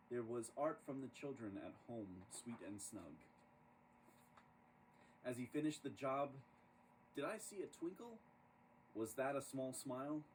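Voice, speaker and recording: A man reading a rhyming children's story aloud in an expressive, dramatic storytelling manner. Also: mid-range voice. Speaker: storybook reader. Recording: distant microphone with clear background noise.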